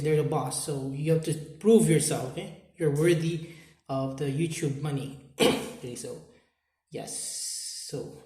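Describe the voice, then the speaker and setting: A man from the Philippines with a Filipino accent, talking unscripted to camera as a YouTuber, halting and repeating words with many fillers. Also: on the high side, a little mellow, reverberant.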